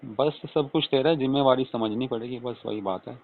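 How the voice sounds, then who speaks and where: A man's voice: mid-range voice, a little fast, close to an everyday voice. A man playing a flirtatious, coaxing father-in-law in a casual, intimate phone-call role-play, murmuring and adding questioning hums. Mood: persuasive. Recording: tinny phone-line call recording.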